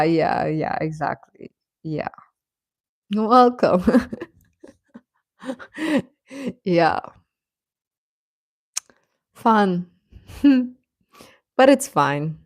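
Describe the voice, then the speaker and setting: Low-voiced woman, slow casual streamer. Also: no room sound, clean studio sound. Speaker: live stream host. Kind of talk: casual live stream chat with viewers.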